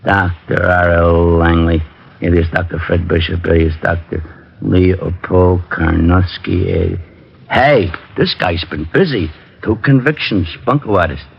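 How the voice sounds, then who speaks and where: Gruff dim-witted police sergeant. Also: male voice, deep voice, steady tone, measured pace, heavily acted. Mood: bumbling. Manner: gruff, slangy tough-guy talk. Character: dim-witted blustering police sergeant, middle-aged man. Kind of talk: 1950s radio drama acting. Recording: dull old radio broadcast.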